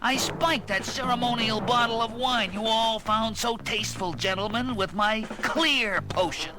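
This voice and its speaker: A man's voice: sits high, a little slow, music in the background. A man playing a fussy, self-satisfied eccentric inventor villain in a science fiction audio drama, prim and theatrical. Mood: smug.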